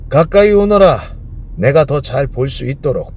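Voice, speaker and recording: A man's voice: mid-range voice, sing-song, darkish tone, husky, pitched above the performer's own voice, exaggerated voice. A man voicing a proud, good-natured storybook king who issues pompous commands. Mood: pompous, commanding. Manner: pompous, commanding, drawn-out decrees.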